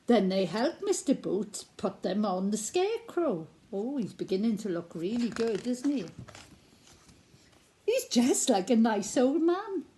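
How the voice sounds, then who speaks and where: Female children's storyteller: unhurried, very expressive, sing-song read-aloud that invites small listeners in. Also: fairly high pitch. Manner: animated, sing-song, prompting little listeners with questions.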